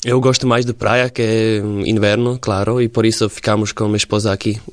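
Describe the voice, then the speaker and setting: A man in his forties from Slovakia, speaking Portuguese with a Slovak accent as a radio interview guest, pausing often to search for words. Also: lowish voice, level tone, no room sound. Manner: halting, searching for words in a second language. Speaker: interview guest, former footballer.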